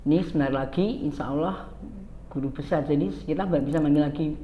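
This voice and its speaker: A woman's voice: sits low, quick pace, reverberant, lo-fi sound, a little hiss or hum. A woman hosting a casual interview podcast in a playful manner, often laughing. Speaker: podcast host.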